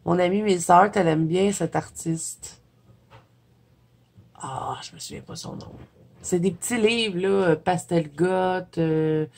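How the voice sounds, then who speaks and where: A woman with a Québécois French accent, stuffy from a cold, chatting casually as a coloring vlogger, filling pauses with "euh" and soft giggles. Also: fairly low pitch, creaky. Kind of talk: casual chat flipping through a coloring book.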